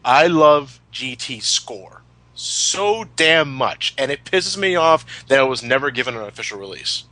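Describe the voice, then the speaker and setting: A man's voice: medium pitch, big pitch swings, unhurried, pushed and bright, dry recording, low-quality recording. A man chatting casually as a podcast co-host, opinionated and emphatic, with plenty of swearing. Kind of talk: casual chat, retrospective commentary.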